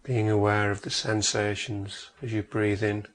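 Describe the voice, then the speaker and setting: A man with a fairly low voice leads a guided meditation. He speaks very slowly, giving measured instructions and leaving long pauses between phrases. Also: little pitch movement.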